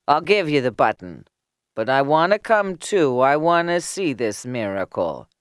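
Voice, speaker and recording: A woman's voice: rumbling low, sing-song, measured pace, smooth, no echo, exaggerated voice. Woman playing grumpy male tailor, sarcastic, scoffing, storybook character, exaggerated intonation, dry recording. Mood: grudging. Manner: scoffing, rhetorical questioning.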